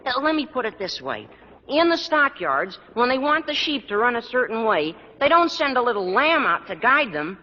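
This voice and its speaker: An actor playing an earnest teenage schoolboy in an old radio sitcom, squeaky and over-elaborate, stammering as he goes. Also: very animated, normal speed, heavily acted. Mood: sheepish persuading. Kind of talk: 1940s-50s radio sitcom acting. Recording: muffled old radio recording with studio audience.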